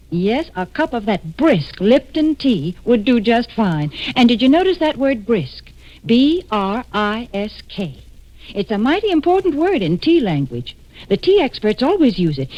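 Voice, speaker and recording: An American woman announcer giving a chatty, emphatic sponsor pitch in 1940s radio style. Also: a little mellow. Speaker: commercial announcer. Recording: dull, band-limited 1940s radio recording.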